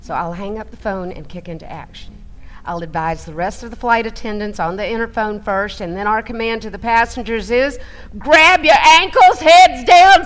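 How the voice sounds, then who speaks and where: A woman playing an excitable flight attendant who tells her training story theatrically, with deliberate delivery and loud commands. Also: a little high. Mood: loud, commanding. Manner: dramatic, deliberate, breaking into loud barked commands. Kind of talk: theatrical first-person storytelling for a radio play.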